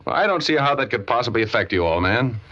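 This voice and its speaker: An actor playing a wisecracking, money-minded private detective in a slangy, flippant 1940s radio drama style. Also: male voice, medium pitch, animated, quick pace, bright, forceful tone. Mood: guarded. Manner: slangy, flippant, hard-boiled. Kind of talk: 1940s old-time radio acting with hard-boiled narration. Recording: muffled old radio broadcast with music.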